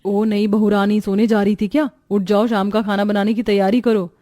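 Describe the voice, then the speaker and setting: A woman's voice: mid-range voice, flat delivery, fairly quick, mellow, dry recording, studio quality, voice pushed down, close to an everyday voice. A woman voicing a strict, nagging elder sister-in-law in a cartoon story.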